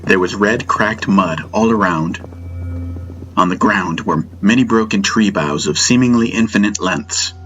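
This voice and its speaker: A male audiobook narrator with a low, bright-edged voice tells a first-person fantasy tale. His delivery is measured and dramatic, with soft music running behind it. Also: dull audio.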